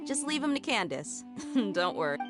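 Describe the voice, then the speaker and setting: A breezy, confident woman fighter voiced by an actress speaks with hugely varied intonation at a middle pitch. The game character is heard over music. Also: very animated, moderate pace, natural voice. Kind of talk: video game voice acting.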